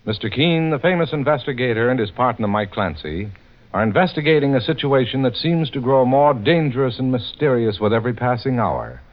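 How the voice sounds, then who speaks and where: A man's voice: medium pitch, very animated, fairly quick. A man giving scripted narration as a mid-century radio announcer, formal and dramatic. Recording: muffled old radio recording.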